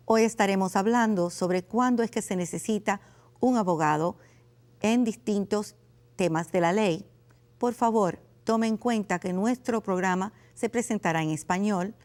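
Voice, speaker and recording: A woman lawyer hosting a legal television program, speaking in a formal, measured way as she introduces and questions guests. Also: medium pitch, darkish tone. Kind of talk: scripted TV hosting and interviewing.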